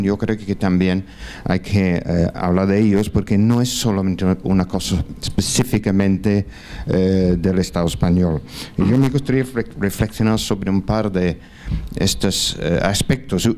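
A man's voice: deep voice, a little mellow. A man in his sixties, a non-native Spanish speaker, standing in a large lecture hall and putting a question from the floor to a panel through a handheld mic, hesitant with many fillers and restarts. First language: not Spanish. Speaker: audience member at a conference. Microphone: handheld mic, standing in the audience.

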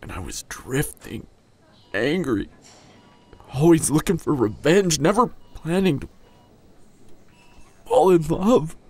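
A man playing a tender, grieving young hunter boyfriend in an intimate, emotional audio drama, halting and husky, often dropping toward a whisper. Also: on the high side, lively intonation, a little mellow, full character voice. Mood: grieving, scared. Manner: halting, emotional, long pauses. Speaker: audio roleplay voice actor.

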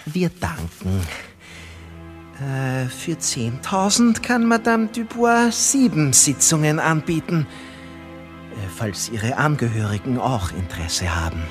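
An actor playing a polite, money-minded assistant to a medium in an audio drama, formal and hesitant. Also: male voice, medium pitch, very animated, takes it slowly, soft background music. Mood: businesslike, servile. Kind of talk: radio play acting.

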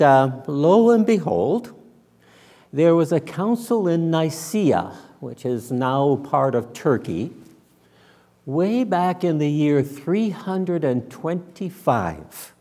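An older man preaches in a mellow, soft-edged voice that carries through a reverberant church. He speaks slowly and deliberately, in the conversational style of a minister explaining things to a congregation. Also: a little high, big pitch swings. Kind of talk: conversational sermon. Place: large church sanctuary, indoors.

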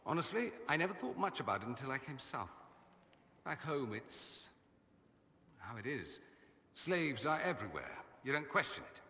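Game companion: a sardonic, self-amused mage noble, crisp bright voice, lively pitch, reverb tail. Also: male voice, normal speed, slight background noise. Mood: wry. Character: witty, vain male mage nobleman, wry and worldly. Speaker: companion character. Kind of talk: video game dialogue.

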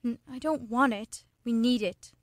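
A young woman acting in a radio play as a headstrong, quick-witted girlfriend with a pointed way of talking. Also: on the high side, no room sound, studio quality, natural voice. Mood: awkward, wistful. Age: young adult. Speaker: student actor.

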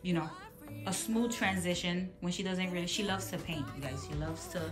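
A woman's voice: lowish voice, moderate pace, reverberant, music in the background. A woman casually showing her home and purchases on a handheld phone, talking in a rambling, self-correcting way. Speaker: home vlogger. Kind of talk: casual clean-with-me narration. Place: large bright home, kitchen and playroom. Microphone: handheld phone camera.

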